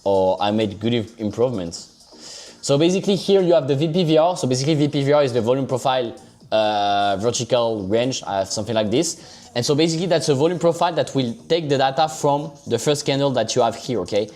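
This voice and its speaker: A man's voice: medium pitch, lively intonation, fairly quick, darkish tone. A man with a French accent giving casual live trading commentary on a stream, loose and chatty, often saying "so basically" and checking in with "okay?". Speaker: livestream crypto trader.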